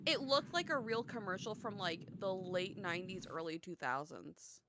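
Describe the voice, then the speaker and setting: A woman's voice: medium pitch, expressive, normal speed, a little hiss or hum. A woman co-hosting a reaction show, chatting casually in a playful, exclamatory way. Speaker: co-host reacting to videos. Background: loud music.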